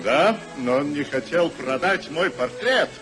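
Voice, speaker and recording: An actor playing a genial, sentimental old gentleman in a theatrical 1950s radio play. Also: on the high side, lively intonation, measured pace. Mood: fond, touched. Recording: 1950s radio recording with loud music.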